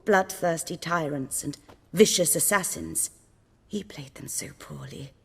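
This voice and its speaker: Playful genteel game character, husky and really swoopy, courtly talk, echoes like a hall. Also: female voice, sits low, measured pace, heavily acted. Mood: gracious, playful. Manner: expressive, courtly, wordy. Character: genteel, theatrical woman, gracious and playful. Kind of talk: video game dialogue. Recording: long hall-like reverb.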